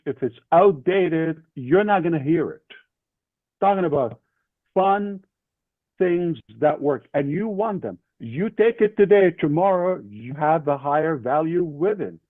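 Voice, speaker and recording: A man's voice: fairly high pitch, expressive, unhurried, pauses often, no echo. A man leading an online session, giving an emphatic motivational business talk in short declarative phrases. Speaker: leader and main speaker of an online group session. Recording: thin phone-like call audio.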